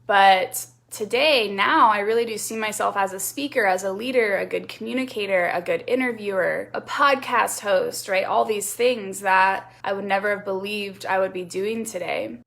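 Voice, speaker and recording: A woman yoga teacher hosting a solo podcast, her mid-pitched voice catching in a gravelly fry. She talks in a loose, conversational way, earnest and encouraging, with casual fillers like "like" and "right.". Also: fairly bright tone. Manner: earnest, encouraging, self-reflective.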